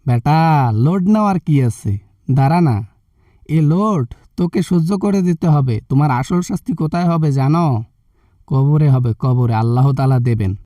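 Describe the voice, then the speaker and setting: A man's voice: on the high side, expressive, moderate pace, dark tone, no echo, studio quality, far from the mic, close to an everyday voice. A man with a Bangladeshi accent playing a stern religious party leader in a comic phone skit, scolding and preaching threats. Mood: threatening.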